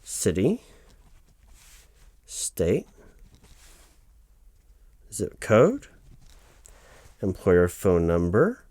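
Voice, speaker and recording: A man playing a patient, officious human resources officer, haltingly reading out form fields in a one-sided ASMR roleplay. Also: low voice, wildly swooping pitch, darkish tone, no echo, exaggerated voice. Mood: businesslike. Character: officious, patient male HR officer doing paperwork. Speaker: human resources interviewer filling out forms.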